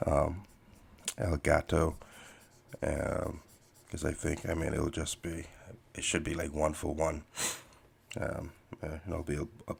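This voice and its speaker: Very deep, slow, gravelly man. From Bahamas. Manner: meandering, many fillers and restarts, laid-back. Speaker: podcast host and artist. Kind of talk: casual rambling chat while drawing.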